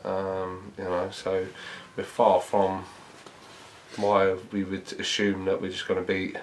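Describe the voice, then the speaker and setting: A man, a football manager giving a measured post-match interview, thinking aloud with many fillers. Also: deep voice, completely flat delivery, slight background noise, low-quality recording. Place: small indoor press room before a sponsor board.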